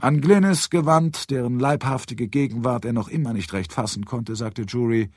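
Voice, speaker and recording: A man narrating a literary audiobook with expressive, dramatic phrasing. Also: medium pitch, moderate pace, breathy edge, no room sound, clean studio sound. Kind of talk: scripted literary narration of a crime novel.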